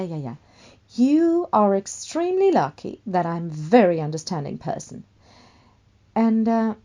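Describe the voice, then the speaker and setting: A woman acts out a famous actress and full-blown diva in a radio play. She is vain and quick to flare up, with a haughty, melodramatic delivery. Her pitch leaps about as she gushes or scolds. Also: dark tone. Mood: excited, bossy. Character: vainglorious, hot-tempered famous actress, a theatrical diva. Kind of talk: one-sided radio play dialogue.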